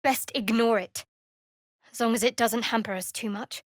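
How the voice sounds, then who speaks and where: Game role, woman performer: secretive young female cleric, sardonic and guarded, lightly high register, very dry studio sound. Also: fairly even intonation, moderate pace, dry recording, natural voice. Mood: guarded, measured. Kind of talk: fantasy video game voice acting.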